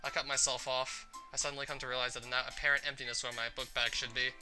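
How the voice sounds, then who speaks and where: A game player reading a visual novel's narration aloud in an expressive storytelling style. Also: mid-range voice, quick pace, soft background music.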